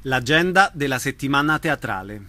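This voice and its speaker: A man's voice: mid-range voice, animated, normal speed, over music. A man hosting a radio show, putting questions to guests and announcing events.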